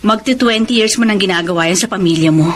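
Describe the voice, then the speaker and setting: A woman's voice: medium pitch, quick pace, a little hiss or hum, close to an everyday voice. A woman playing a long-suffering, resentful middle-aged wife and mother in a radio drama. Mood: hurt, sarcastic.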